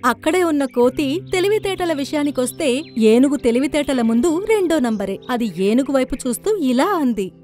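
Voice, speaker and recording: A woman's voice: high-pitched, lively intonation, normal speed, dark tone, faint music behind. A woman reading scripted narration for a children's story.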